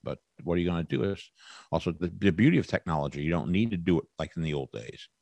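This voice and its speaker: A folksy man in his sixties talks as a guest on a podcast, rambling along in an upbeat, casual way. His voice sits on the low side, and the sound is dry and close. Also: expressive, quick pace, creaky, darkish tone, no room sound. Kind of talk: casual chat.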